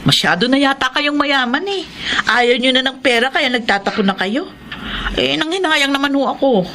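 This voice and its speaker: A woman's voice: sits high, normal speed, bright, forceful tone, slight background noise. A woman playing a chatty, meddling middle-aged housemaid in a radio drama, breezy and insistent. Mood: cheeky, proud. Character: chatty, cheeky, meddling housemaid, mother of a student. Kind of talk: Tagalog radio drama acting.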